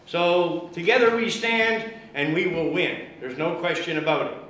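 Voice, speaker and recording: A man giving a loud, impassioned speech at an activist meeting, rallying the crowd with many fillers. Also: fairly high pitch, animated, unhurried. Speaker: guest speaker at an activist meeting. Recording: very echoey room with faint noise.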